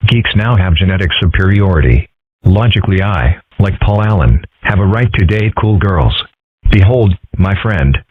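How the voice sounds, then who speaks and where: A man's voice: deep voice, breathy edge, dry recording, full character voice. A man voicing a geeky, scheming, self-important boy in a cartoon comic dub, wordy and pompous. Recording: tinny, phone-like audio.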